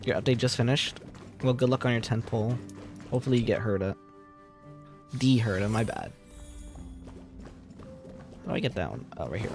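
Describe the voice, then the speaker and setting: Creaky-voiced woman streaming a game. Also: extremely low pitch, lively intonation, normal speed, pauses often, darkish tone, faint music behind. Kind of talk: casual live gameplay commentary, reading choices aloud.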